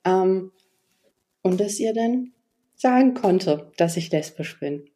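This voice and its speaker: Mellow-voiced woman in her forties. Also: medium pitch, animated, takes it slowly. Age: 40s. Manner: reflective, hesitant, many fillers. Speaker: podcast guest. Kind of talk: personal storytelling interview.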